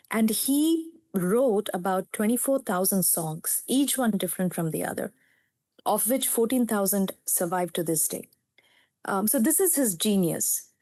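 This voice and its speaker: A woman in her fifties with an Indian English accent, her first language Telugu, speaks as a psychiatrist guest. She tells her story in an earnest, reflective way. Her voice sits at a middling pitch and sounds dry and close, with no room echo. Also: dark tone. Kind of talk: storytelling in a podcast interview.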